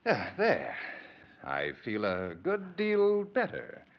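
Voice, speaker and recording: On a dull old radio recording, a scheming male villain with a swooping, animated delivery. He is theatrical and over-polite, halting as he soothes, in 1940s horror drama style. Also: mid-range voice. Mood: sinister, calm.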